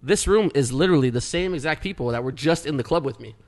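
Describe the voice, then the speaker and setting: A man's voice: on the high side, lively intonation, fast. A man in his forties, a podcast co-host telling a long, rambling story with lots of swearing and restarts. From Canada. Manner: rambling, profane, restarts often. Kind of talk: casual storytelling.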